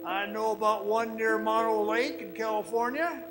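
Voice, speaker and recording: Sinister male cultist narrator played by an actor, high voice, menacing confidences to the listener, radio play over loud music. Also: steady tone, moderate pace, close to an everyday voice. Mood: insistent, confiding. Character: sinister storytelling man, eerie devotee of an underground fire cult. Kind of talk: radio drama monologue. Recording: loud music bed under the voice. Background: loud dramatic music.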